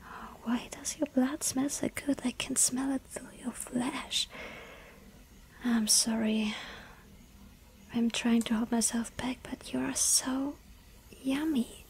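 A woman voicing a lonely yandere vampire girl in an ASMR roleplay, whispering softly and pleading. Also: high voice, fairly even intonation, slow, pauses often, slight background noise. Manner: breathy soft whisper, pleading.

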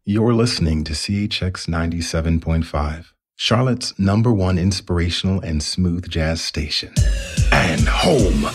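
A man playing a slow-talking radio announcer for a smooth jazz station in an audio drama. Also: low-pitched. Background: music.

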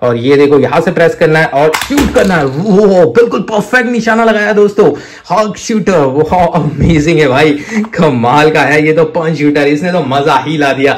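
An excitable man, presenting toys hands-on to viewers in an enthusiastic, repetitive way full of amazed exclamations. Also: fairly high pitch, normal speed. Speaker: toy review presenter. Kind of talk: enthusiastic hands-on toy showcase, addressing viewers as friends.

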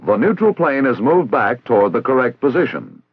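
American training film narrator, dull vintage soundtrack. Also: male voice, mid-range voice, sing-song, breathy edge, no echo. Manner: formal, measured, didactic. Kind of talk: scripted instructional narration. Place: recorded voice-over.

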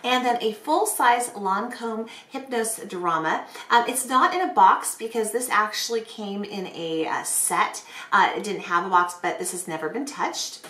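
Casting: middle-aged female beauty vlogger, bright but a bit hoarse, casual chatty delivery, roomy indoor sound. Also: mid-range voice, a little slow. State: hoarse from bronchitis. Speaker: beauty vlogger hosting a giveaway. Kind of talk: casual chatty product presentation to camera. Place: small bedroom, indoors. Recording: roomy, echoey bedroom sound.

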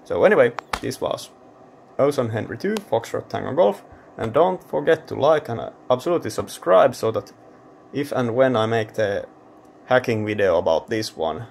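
A man's voice: mid-range voice, lively intonation, normal speed, vocal fry, darkish tone, a little hiss or hum. A man giving casual hands-on tech commentary, thinking aloud informally as he hosts a teardown video. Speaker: host of electronics teardown channel.

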